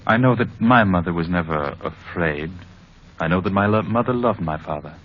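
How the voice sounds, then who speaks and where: Casting: a troubled, sincere married executive, deep measured voice, vintage radio drama, dull muffled sound. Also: male voice, expressive. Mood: troubled, hesitant. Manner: measured, formal, sincere delivery. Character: earnest, troubled married advertising executive testifying about his marriage. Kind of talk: old time radio drama acting. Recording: muffled old radio recording.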